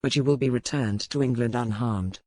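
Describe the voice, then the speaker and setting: Male actor as pirate captain, stern, commanding, measured formal speech, audiobook drama, dry close sound. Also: mid-range voice, darkish tone, no echo, natural voice. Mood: cold, firm. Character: stern pirate captain, cold but courteous to a captive lady.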